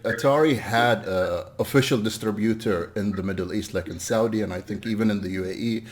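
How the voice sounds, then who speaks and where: A man with a somewhat deep voice conducts an interview. He speaks conversationally, in a measured way, putting questions and compliments to his guest.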